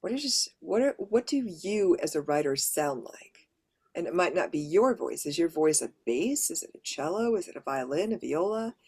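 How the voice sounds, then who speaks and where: Woman, lower pitched, practical presenter explaining things step by step to a workshop audience. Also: big pitch swings, normal speed, a little mellow, breathy edge. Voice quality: mid to lower female voice. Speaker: workshop presenter and audiobook narrator.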